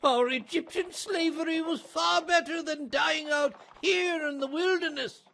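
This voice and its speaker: A man's voice: unhurried, sharp tone, a little hiss or hum, exaggerated voice. A man voicing a panicked escaped slave who complains bitterly and accusingly in a strained voice, in a dramatized Bible reading. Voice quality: strained, creaky shrill voice.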